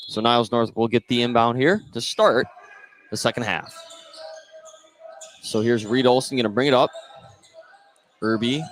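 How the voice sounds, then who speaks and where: A man's voice: mid-range voice, moderate pace, long pauses, noisy background. A man calling a basketball game live as the play-by-play announcer on a radio stream.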